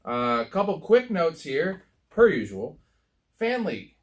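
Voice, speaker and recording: A man's voice: a little high, big pitch swings, a little slow. An American man co-hosting a sports podcast, talking and telling stories in a casual, rambling, profane way. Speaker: podcast co-host.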